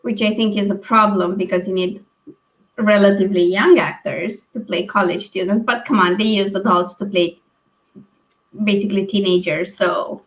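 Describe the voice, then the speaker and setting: A woman hosting a casual book discussion over a video call, thinking aloud in halting phrases. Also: medium pitch, fairly even intonation. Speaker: book read-along live stream host. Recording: dull, lo-fi stream audio.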